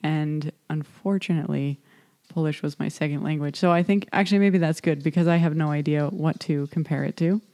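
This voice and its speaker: A woman's voice: sits low, steady tone, a little fast, hardly any pauses, warm tone. A young American woman chatting casually as podcast host, hesitant and self-deprecating with frequent laughs. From United States. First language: English. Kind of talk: casual chat.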